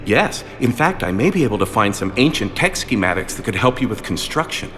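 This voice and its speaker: A man playing an eager, bumbling, apologetic fan of a space commander in a video game, excitable and easily flustered. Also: lowish voice, a little fast, soft background music. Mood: flustered, helpful.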